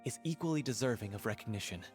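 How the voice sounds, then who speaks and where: A man's voice: on the low side, expressive, quick pace, darkish tone, soft background music, close to an everyday voice. A man voicing an earnest, courteous theatre announcer in a video game, speaking formally and articulately. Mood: placating.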